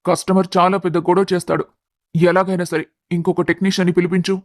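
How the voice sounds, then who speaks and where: A man's voice: high voice, little pitch movement, quick pace, dry recording, clean studio sound, natural voice. A man voicing a mild, earnest middle-aged family man in a narrated story. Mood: worried.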